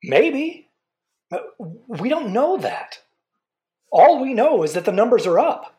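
Fervent campaigner, insistent and rhetorical, big melodic swings, audio drama debate. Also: female voice, a little high, very animated, slow pace, warm tone. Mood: urgent, insistent. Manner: emphatic, repetitive, rhetorical. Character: impassioned political campaigner for safety, zealous and insistent. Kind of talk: fiction podcast drama, talk show debate.

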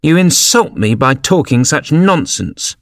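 A man's voice: mid-range voice, expressive, moderate pace, dry recording, studio quality, pitched above the performer's own voice, lightly acted. A man voicing a gloomy, easily offended mouse in a storybook, with a severe, indignant manner. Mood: sharp, offended. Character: touchy, offended male mouse, gloomy and sharp-tempered.

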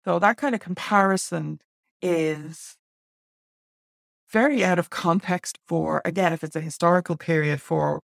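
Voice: mid-range voice, a little slow, creaky, fairly bright tone, dry recording, clean studio sound. An Irish speaker in their forties giving blunt, emphatic opinions to camera, full of asides and tag questions. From Ireland. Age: 40s. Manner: digressive with many asides and "right?" tags. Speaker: online school presenter.

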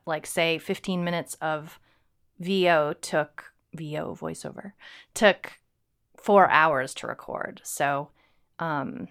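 A woman's voice: low-pitched, fairly bright tone, clean tone. A woman co-hosting a casual home-recorded podcast, upbeat and teasing, with fillers. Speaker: writer and podcast co-host. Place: home recording setup in a house.

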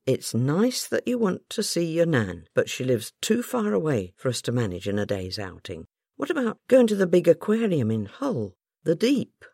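A mother of young children, voiced by a woman storyteller. She is brisk and coaxing with a strained edge, in a close, dry storybook reading. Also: deep voice, wildly swooping pitch, creaky, dark tone, husky, dry recording, voice pushed up, heavily acted. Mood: persuasive.

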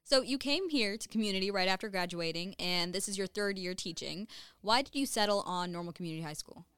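A woman's voice: medium pitch, fairly quick, a bit bright, clear, resonant tone, dry recording, studio quality. A woman asking questions as the interviewer in a conversational interview.

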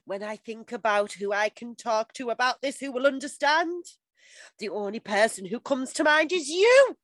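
A woman voicing a lovesick, earnest adolescent boy reading out a love letter in a drawn-out, overwrought way. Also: fairly high pitch, lively intonation, fairly bright tone, no echo.

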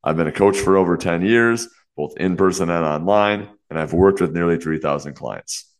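Host, young man, very low voice, congested and a bit nasal; earnest, brisk solo podcast delivery. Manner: earnest, fast talk with many "you know" fillers. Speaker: podcast host and fitness coach.

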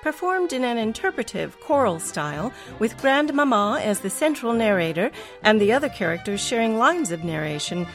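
A woman's voice: on the high side, sing-song, moderate pace. A woman announcer giving a formal, dramatic, scripted introduction to a radio drama. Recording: clear violin music behind the voice.

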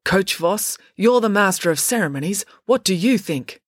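A woman's voice: mid-range voice, animated, moderate pace, husky, no room sound, clean studio sound, pitched above the performer's own voice. A woman voicing an earnest, fussy man giving exclamatory race commentary in a storybook. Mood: concerned, puzzled.

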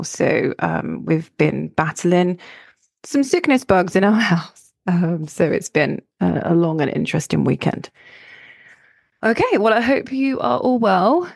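Croaky, gravelly-voiced woman podcaster. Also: on the low side, darkish tone, no echo, clean studio sound. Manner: chatty, rambling with many restarts and fillers. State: croaky voice from illness. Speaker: astrologer and podcast host. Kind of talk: solo podcast talk.

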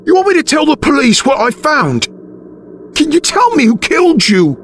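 A man's voice: very high-pitched, huge pitch swings, normal speed, a bit bright, a little hiss or hum, voice pushed up, full character voice. A man voicing a grief-stricken widowed man who pleads tearfully in a spoken horror story. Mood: desperate.

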